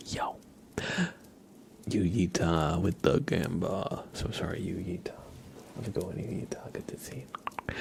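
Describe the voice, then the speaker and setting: Guy doing asmr stream chat, real deep breathy whisper, soft and reassuring.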